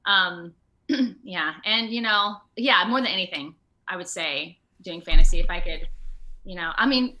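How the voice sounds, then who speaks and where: An American woman talking casually in an interview over a video call, with an animated, rambling, self-deprecating manner. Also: fairly low pitch, unhurried, poor audio. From Ohio, United States. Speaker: actor being interviewed.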